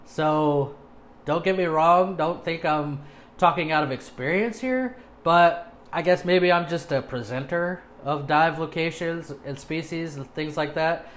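A man's voice: on the high side, a little slow, fairly bright tone, slight background noise. A man in his thirties presenting a video casually, with an informal, self-deprecating manner, recorded indoors in a small home studio.

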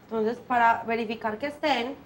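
A young woman with a fairly high voice hosts a televised cooking lesson. She talks viewers briskly through each step. She is recorded on a lapel mic in a small studio kitchen, with faint room noise behind her. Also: fairly even intonation. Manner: brisk, explanatory, addresses viewers directly. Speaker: cooking show host.

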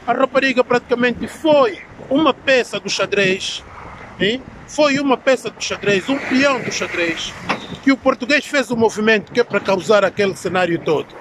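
A man in his thirties with an Angolan accent giving emphatic, opinionated commentary to his phone, repeating himself and pausing to search for words. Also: very high voice. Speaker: social media commentator. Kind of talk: opinionated vlog commentary to camera. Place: outdoors in an alley beside brick buildings. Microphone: phone at arm's length. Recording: clear outdoor street and traffic noise.

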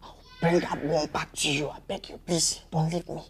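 A woman playing a smitten husband who stammers and begs softly, over music in a comedy skit. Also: low-pitched, fairly even intonation, moderate pace, a crowd in the background. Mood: begging. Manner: soft, stammering, begging. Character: pleading smitten husband, man, grown.

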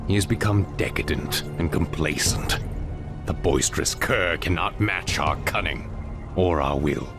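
A man's voice: sits low, measured pace, over music. A man voicing a brooding, imperious half-demon warlord in a game cutscene, grandiose and commanding. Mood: grave, resolute. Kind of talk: dramatic video game cutscene acting.

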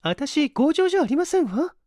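A woman's voice: high voice, sing-song, fairly quick, warm tone, no room sound, clean studio sound, voice pushed up. A woman voicing a proud, prickly young woman down on her luck, with prim, refined, old-fashioned politeness, in a story reading. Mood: prim, impatient.

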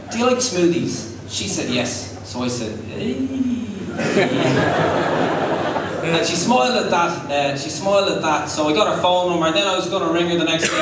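A man's voice: on the high side, distant mic. An Irish man in his thirties doing conversational stand-up storytelling on a club stage. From Ireland. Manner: conversational, sweary. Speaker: stand-up comedian. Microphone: handheld mic, heard from audience camera. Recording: very echoey, noisy club recording from afar.

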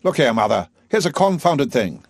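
A man's voice: a little high, animated, brisk, dry recording, studio quality, voice pushed up. A man doing a raging, blustering professor. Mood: agitated. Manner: explosive, indignant outbursts. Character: blustering male professor, irascible, self-pitying.

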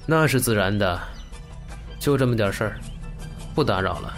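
Man acting a low-voiced, composed gang boss. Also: animated, normal speed, over music, natural voice. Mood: cool, polite. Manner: terse, composed, authoritative. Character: cool, commanding male gang boss, unhurried and calculating. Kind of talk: audio drama acting.

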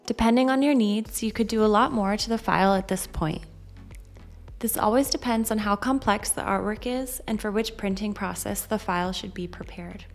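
A woman reading a scripted software demonstration in a measured, instructional way. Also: mid-range voice. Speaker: software demo narrator. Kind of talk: scripted tutorial narration. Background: background music.

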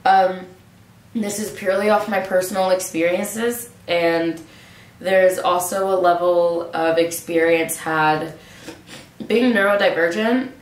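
A young woman with a stuffy nose, musing casually to camera in a relaxed way, with lots of filler words. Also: on the low side, takes it slowly. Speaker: vlogger. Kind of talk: casual reflective monologue to camera. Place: small room indoors, seated in a hanging chair. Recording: roomy echo, mic at a distance.

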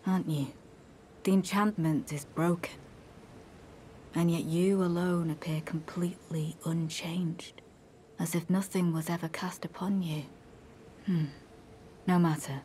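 An actress playing a devout female knight in a video game, courteous yet coldly menacing, speaking formal archaic lines in a measured, solemn way. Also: fairly low pitch, steady tone, mellow, big-room sound, a little hiss or hum. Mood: calm, courteous.

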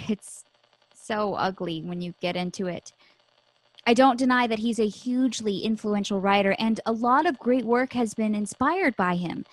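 A woman's voice: medium pitch, no room sound, natural voice, poor audio. A woman acting in a radio drama as a candid, thoughtful, bookish co-host. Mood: uncomfortable, candid. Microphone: close studio mic on boom arm.

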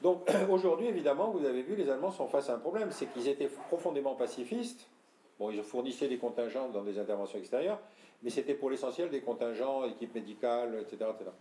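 An older man from France giving a talk to an audience, explaining and addressing listeners directly, often clearing his throat. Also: medium pitch, expressive, quick pace, mellow, a little hiss or hum. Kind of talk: lecture with audience questions. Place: room with audience. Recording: echoey room.

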